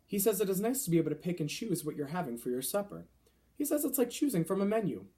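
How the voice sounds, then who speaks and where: Casting: man to play friendly storybook giant, earnest and gently indignant, quaint mangled grammar, very animated intonation, mellow tone. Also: sits high, fast. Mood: explaining, relishing. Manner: quaint broken grammar with mangled, invented words. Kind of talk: children's storybook character voice.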